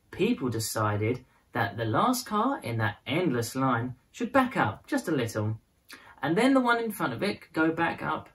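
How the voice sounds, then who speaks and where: A woman reading a children's picture book aloud as a storyteller, with expressive, dramatized phrasing. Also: rumbling low, low-quality recording. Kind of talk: scripted storybook narration in prose and verse.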